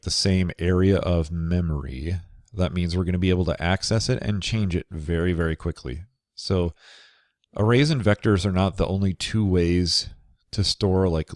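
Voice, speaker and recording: A man teaching a programming course over slides, sounding nasal and a bit crackly from a cold, talking in a relaxed way with many fillers. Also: deep voice, no echo, clean studio sound. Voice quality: slightly crackly. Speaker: programming instructor. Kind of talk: recorded lecture over slides.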